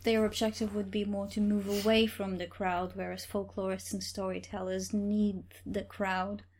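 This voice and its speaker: A woman conducts a casual interview with short, prompting questions. Her voice sits at an ordinary pitch for a woman. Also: level tone, normal speed, a little mellow, slight background noise. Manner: brief prompting questions and back-channel replies. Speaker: interviewer, podcast volunteer.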